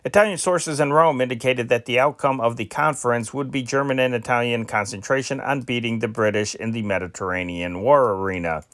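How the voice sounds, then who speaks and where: A man reading old newspaper war dispatches aloud in a measured way, now and then stumbling and restarting a word. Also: mid-range voice, fairly bright tone, no echo. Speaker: news reader.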